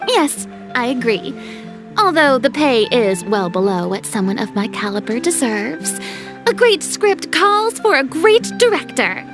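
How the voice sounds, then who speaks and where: A woman's voice: sits high, sing-song, measured pace, over music, heavily acted. A woman playing a proud, theatrical young star turned film director in a video game, haughty and self-important.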